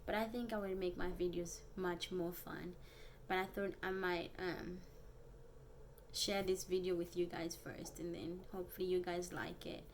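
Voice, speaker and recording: A young woman from Africa with an African accent, a native Bemba speaker, chatting casually and earnestly to viewers in a vlog, haltingly and often repeating phrases. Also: on the low side, little pitch movement, a little hiss or hum. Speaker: vlogger. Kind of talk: casual personal vlog chat.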